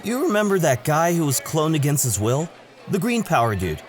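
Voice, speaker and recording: A man's voice: fairly high pitch, sing-song, a little fast, soft background music. A man playing an eager, awkward male student in a casual, chatty audio drama style. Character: excitable, awkward male student, lovelorn new kid.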